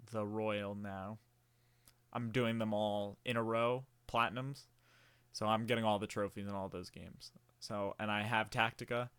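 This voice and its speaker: A man's voice: fairly low pitch, fairly bright tone, clean tone, no room sound. A man in his early twenties talking casually to camera in a meandering, rambling way, with many fillers and restarts. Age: early 20s. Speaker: vlogger.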